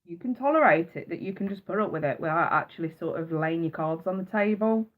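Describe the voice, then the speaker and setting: A woman's voice: fairly low pitch, animated, fast, phone-quality sound. A woman with a stuffy nose from a cold, giving a blunt, fired-up live tarot reading and swearing freely. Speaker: tarot reader.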